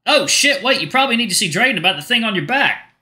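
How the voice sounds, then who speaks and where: A man voicing a caring male coach who gently encourages his student. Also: high-pitched, big pitch swings, a little fast, voice pushed up, full character voice. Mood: playful. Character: caring male coach, warm proud mentor. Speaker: trainer of the narrator. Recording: soft music behind.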